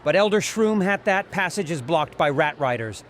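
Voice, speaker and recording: A man as gangly gnome underling, slightly high voice, deferential, fantasy audio story, faint background noise. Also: expressive, moderate pace, fairly bright tone. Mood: dutiful, reluctant. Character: tall, clumsy, dutiful brown-haired male gnome helper.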